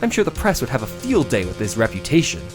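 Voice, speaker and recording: A man's voice: mid-range voice, wildly swooping pitch, fast talker, over loud music. A man voicing an eager young defense lawyer in a theatrical video game fan dub, dramatic, with wry, exasperated asides. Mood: determined, bluffing.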